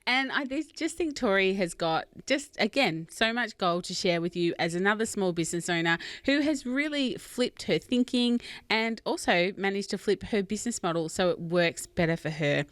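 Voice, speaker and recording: A woman's voice: mid-range voice, animated, normal speed, few pauses, fairly bright tone, no room sound, studio quality. Chatty Australian woman podcast host. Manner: enthusiastic, chatty, with fillers. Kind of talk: casual interview chat. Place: rural home.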